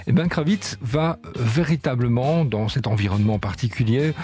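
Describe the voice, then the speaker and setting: A man's voice: medium pitch, moderate pace, dark tone. Chatty French-speaking male radio host. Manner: chatty, frequent filler words, storytelling. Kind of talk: casual radio segment presenting a musician.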